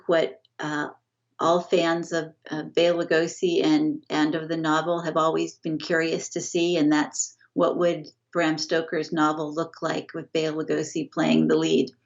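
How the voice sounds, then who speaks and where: A middle-aged woman with a lowish voice talks as a guest on a video call, stopping and restarting her sentences often. The audio is dull and lo-fi. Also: little pitch movement, gravelly. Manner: halting, many restarts and fillers. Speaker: guest, family estate representative. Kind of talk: casual interview over video call. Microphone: video call, earbuds.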